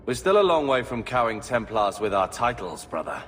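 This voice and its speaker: A man's voice: medium pitch, very animated, moderate pace, fairly bright tone. A man playing a bitter, sarcastic young man, an aggrieved younger brother in a video game. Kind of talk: dramatic video game acting. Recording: long reverb with background music.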